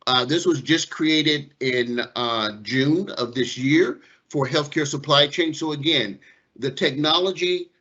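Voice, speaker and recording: Very bright-voiced man presenting, dull call audio. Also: a little high, a little slow, breathy edge. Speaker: technology specialist presenter. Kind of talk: conversational business presentation. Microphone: online meeting microphone.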